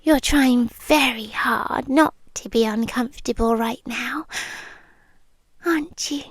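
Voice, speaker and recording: A British woman playing a sweetly menacing, possessive vampire girlfriend in an ASMR roleplay, speaking in coaxing, breathy whispers with pauses, close to the microphone. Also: fairly high pitch, no room sound. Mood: soothing, menacing. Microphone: close microphone, dry.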